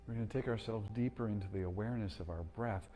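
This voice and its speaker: A man guiding a slow breathing meditation, speaking calmly and gently with long pauses. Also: lowish voice. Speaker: meditation guide.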